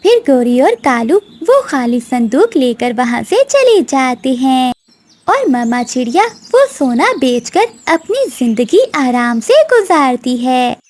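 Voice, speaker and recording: A woman's voice: high-pitched, big pitch swings, slow, darkish tone. A woman narrating a scripted children's story as the storyteller.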